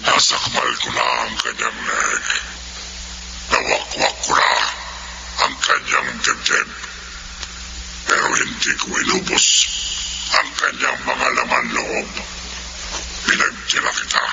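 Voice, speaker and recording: Radio horror villain: vengeful aswang beast, abyssal gravelly growl, muffled under loud music. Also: male voice, very deep voice, little pitch movement, slow pace, pauses often, noisy background, heavily acted. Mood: gloating. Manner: menacing, accusing. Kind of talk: Filipino radio horror drama acting.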